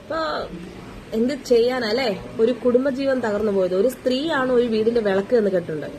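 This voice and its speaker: A woman's voice: fairly high pitch, brisk, mellow. A woman giving casual opinion commentary, exasperated and moralizing, asking many rhetorical questions. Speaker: commentary vlogger. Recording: noisy amateur voice recording.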